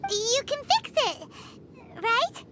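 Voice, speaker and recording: Tiny, squeaky, excitable fairy girl. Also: takes it slowly, very noisy, exaggerated voice. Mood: concerned. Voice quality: squeaky, childlike. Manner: bubbly, eager, speaks of herself by name. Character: small, chirpy, excitable floating fairy companion girl. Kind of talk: video game character acting.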